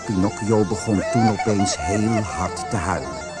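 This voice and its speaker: A man reading a children's fairy tale as an audiobook narrator, in an expressive storytelling style. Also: on the low side, a little slow, loud music behind the voice.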